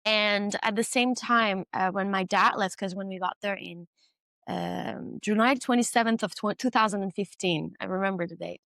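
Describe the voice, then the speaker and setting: A young woman from Iran speaks as a guest in a relaxed, reflective chat. She is candid and thoughtful, and her talk is full of ums and restarts. The sound is close and dry, with no room echo. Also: medium pitch, normal speed, vocal fry. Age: 20s. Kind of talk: casual conversation.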